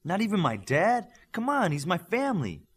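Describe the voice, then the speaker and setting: An eager and brash young superhero from an audiobook, a teenage boy, acted out by a male narrator. His pitch moves around a lot as he talks. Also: huge pitch swings, moderate pace, slightly rough, heavily acted. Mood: eager, teasing.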